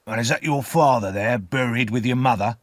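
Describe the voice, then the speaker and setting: A man voicing a rough, menacing escaped convict in a storybook reading, growling and commanding. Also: medium pitch, no echo, studio quality, lightly acted. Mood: gruff, suspicious. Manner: forceful, commanding, slow threats.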